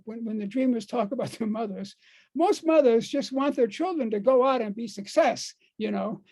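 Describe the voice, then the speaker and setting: An older American man giving an informal talk to a group, speaking haltingly and repeating words and phrases for emphasis. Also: extremely high pitch, mellow. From United States. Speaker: speaker on dream work.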